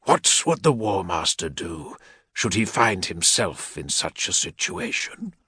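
A man's voice: deep voice, big pitch swings, unhurried, sharp tone, breathy edge, no room sound, studio quality, full character voice. A man voicing a crippled, menacing male equerry who growls threats from behind a respirator. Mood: cold menace.

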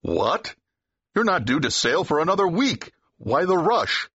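An actor playing a cautious, exasperated talent agent in an audiobook drama, with an uneasy, reasoning manner. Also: male voice, a little high, sing-song, measured pace, a bit bright, breathy edge, no echo, muffled recording, exaggerated voice. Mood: firm, puzzled. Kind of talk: full-cast audiobook acting, period dialogue.

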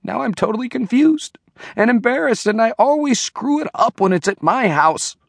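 A man voicing a rambling, absent-minded old village congregation leader in an audiobook, halting and easily sidetracked. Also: very high-pitched, expressive, gravelly, dry recording, clean studio sound, voice pushed up, heavily acted. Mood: flustered, embarrassed. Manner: halting, digressive, self-interrupting. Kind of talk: audiobook character voice.

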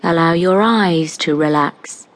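Very slow, low-voiced woman guiding meditation. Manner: calm, soothing, unhurried with long pauses. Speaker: guided meditation narrator. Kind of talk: scripted guided meditation, hypnotic relaxation narration.

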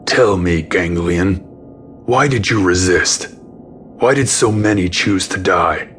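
A man's voice: deep voice, slow, a bit bright, soft background music, pitched below the performer's own voice. A man voicing a stern, commanding male alien emperor in an audiobook. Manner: cold, commanding.

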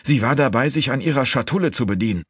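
A man's voice: medium pitch, lively intonation, fast talker, slightly rough, no echo, studio quality, voice pushed up. A man voicing a harsh, accusing male servant in an audiobook, urgent in manner. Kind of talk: audiobook character voice.

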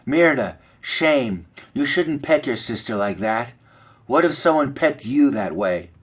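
A soft-spoken, peacemaking storybook hen, voiced by a man. The delivery is prim and gently reproving, kept quiet and kind. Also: medium pitch, animated, unhurried, breathy edge.

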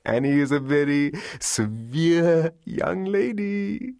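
Fussy genteel older man, high shrill voice, theatrical, swooping pitch, camp storybook character. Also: lively intonation, takes it slowly, vocal fry, darkish tone, no room sound, studio quality, full character voice. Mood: coy mock dread. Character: fussy, camp older gentleman, shrilly squealing with gleeful masochism. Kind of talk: dramatised audiobook reading.

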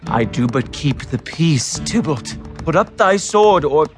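An actor in a Shakespearean audio drama playing an earnest young peacemaker, urgently commanding and pleading. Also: male voice, a little high, lively intonation, a little slow, soft background music. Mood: placating.

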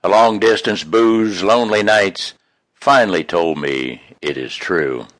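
Low voiced guy reading his own poems real slow, folksy and expressive, dry close mic. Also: no echo. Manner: folksy, homespun. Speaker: poet reading own work. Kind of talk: expressive poetry recital with casual introductions.

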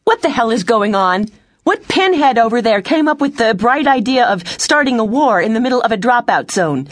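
A woman voicing a fiery, sharp-tongued female starship captain in a space audiobook, forceful and sarcastic. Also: mid-range voice, expressive, normal speed, voice pushed up, natural voice. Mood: furious. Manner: forceful, sarcastic, shouting orders.

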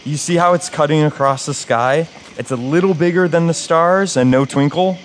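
A man's voice: a little high, normal speed, slight background noise, natural voice. A man playing a skeptical, nerdy young camper who explains things with wry teasing, in a live horror audio drama. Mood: amused.

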